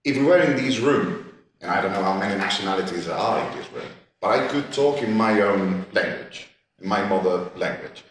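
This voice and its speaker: A man's voice: on the low side, animated, a little hiss or hum. Man, echoey room. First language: Catalan. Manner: halting, many restarts and repeated words. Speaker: panellist. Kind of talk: panel discussion. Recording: echoey gallery room, distant mic.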